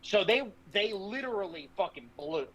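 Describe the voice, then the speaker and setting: A man's voice: sits high, expressive, moderate pace, a bit bright, highs cut off. A man giving casual political commentary as a podcast host, speaking in a brash, blunt, profanity-laced way.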